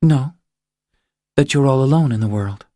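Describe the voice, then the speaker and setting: A man voicing a nervous, inquisitive woman in an audiobook, with soft breathy delivery and measured questions. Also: mid-range voice, no echo, studio quality, voice pushed up, natural voice. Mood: gently curious. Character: nervous, inquisitive well-spoken woman, wife and mother.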